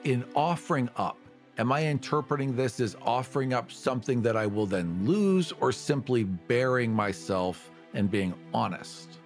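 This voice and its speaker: A man with a medium-pitched voice chats as a player at a tabletop game. He is informal and works out his ideas aloud. Quiet music sits underneath. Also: lively intonation, moderate pace. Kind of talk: casual, improvised game table chat. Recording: music behind the voice. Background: background music.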